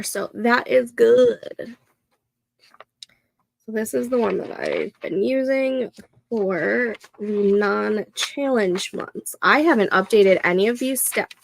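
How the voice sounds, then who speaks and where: A woman in her thirties hosting a casual co-working stream, chatting slowly and a little nasally as she works through her planner. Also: medium pitch, creaky, poor audio. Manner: slow, chatty, talks to herself while setting up a planner. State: congested, a bit nasal. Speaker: co-working livestream host. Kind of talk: casual chat while working.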